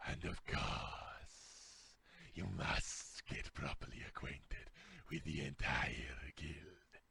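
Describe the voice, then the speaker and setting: A man playing a deep, gravelly Argonian lizard guild official in a fantasy game, speaking slowly, haltingly and formally. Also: pushed and bright, a little hiss or hum, heavily acted. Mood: formal, welcoming. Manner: slow, halting, formal delivery. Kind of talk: scripted fantasy video game dialogue.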